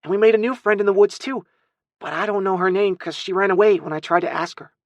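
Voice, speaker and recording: A small boy, acted by a male narrator, pleads in a high, childlike whine. He is stubborn and earnest, like a storybook child, in a very dry recording. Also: fast, no echo, highs cut off, natural voice. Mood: earnest protest. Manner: whiny, childlike.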